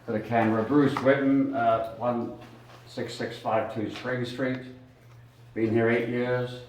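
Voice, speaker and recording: A man speaking at a town meeting's public comment, loud, blunt and emphatic. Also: medium pitch, flat delivery, takes it slowly, a little hiss or hum. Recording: echoey room from far off.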